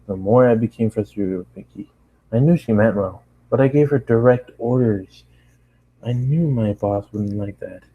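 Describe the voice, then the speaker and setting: A man's voice: fairly low pitch, phone-quality sound. A man narrating a first-person story as an amateur reader.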